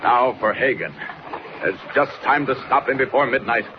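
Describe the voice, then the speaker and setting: An actor playing a heroic, commanding flying superhero in a 1940s radio serial. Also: male voice, medium pitch, normal speed, close to an everyday voice. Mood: urgent. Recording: noisy, muffled old radio recording.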